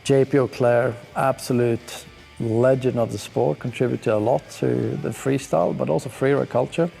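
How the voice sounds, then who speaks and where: A man's voice: medium pitch, steady tone, moderate pace, creaky, warm tone, soft background music. A young man from Sweden, speaking, gives laid-back, slangy, enthusiastic commentary while reacting to ski clips. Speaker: pro skier.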